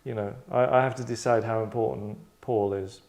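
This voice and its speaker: A man's voice: lowish voice, fairly even intonation, brisk, darkish tone. A man hosting a table read in a rambling, digressive, tongue-in-cheek chat. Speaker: host and writer running a table read.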